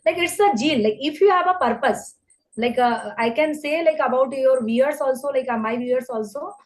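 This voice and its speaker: A woman's voice: high voice, normal speed. A woman with an Indian accent in casual conversation, speaking non-native English with repeated phrases and frequent check-in tags. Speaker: online English teacher and YouTuber.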